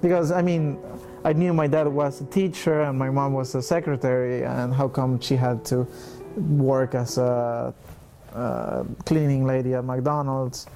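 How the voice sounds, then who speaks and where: Young Salvadoran-born man, mellow voice. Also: medium pitch, steady tone, unhurried, faint music behind. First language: Spanish. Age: 20s. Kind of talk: interview.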